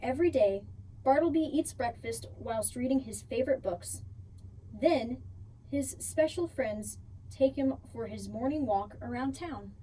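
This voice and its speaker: A woman reading a picture book aloud for children in an expressive storytelling style, drawing out key words. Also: fairly high pitch, measured pace. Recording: distant mic, faint background noise.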